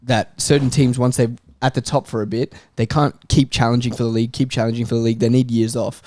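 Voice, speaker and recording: A man's voice: medium pitch, flat delivery, quick pace, mellow, no echo, studio quality. A man talking casually and emphatically as a football podcast panellist, in colloquial language. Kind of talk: casual chat, football debate.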